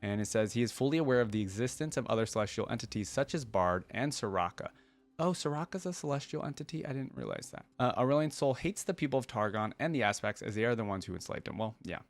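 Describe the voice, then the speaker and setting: A man hosting a reaction video in an informal way, reading text aloud and thinking out loud about it. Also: medium pitch, animated, quick pace. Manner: informal, thinking aloud between read passages. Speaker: reaction channel host.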